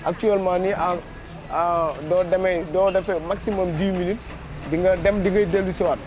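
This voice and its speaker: A Senegalese man gives a spontaneous interview answer. His voice is high for a man but stays very flat in intonation, and he slips between two languages. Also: normal speed. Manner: mixes Wolof and French. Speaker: resident interviewee.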